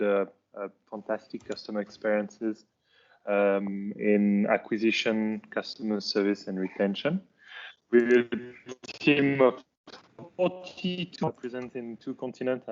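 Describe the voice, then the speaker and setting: A man's voice: medium pitch, a little mellow, dull audio. A man, a startup chief executive on a panel, introducing his company with many hesitant fillers. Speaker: startup co-founder and CEO, panelist.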